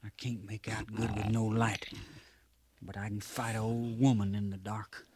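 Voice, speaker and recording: An actor playing a young, loyal, hot-headed cavalry soldier with a rustic American accent, earnest and plain-spoken, in a 1950s radio western. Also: male voice, on the low side, little pitch movement, moderate pace, a little mellow, close to an everyday voice. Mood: wary. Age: young adult. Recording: noisy vintage 1950s radio recording.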